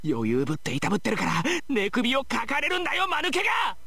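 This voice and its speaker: A spiteful young anime villain with a whiny, petulant delivery. The voice is bright and tense, racing along and swinging wildly in pitch, like visual novel drama at full tilt. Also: male voice, wildly swooping pitch, racing, creaky, breathy edge, no echo, full character voice. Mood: bitter fury. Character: panicking, spiteful, arrogant young male villain.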